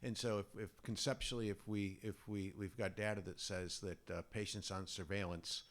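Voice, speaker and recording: A man's voice: fairly low pitch, steady tone, moderate pace, a bit bright. A man speaking as a urologist on a medical panel, thinking aloud and repeating words. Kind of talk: panel discussion.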